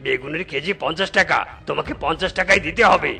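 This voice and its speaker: A man's voice: a little high, fast talker, gravelly, pushed and bright, husky, soft background music, heavily acted. A man playing an indignant, stubborn village vegetable seller in a cartoon, protesting and haggling. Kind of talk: cartoon comedy dialogue.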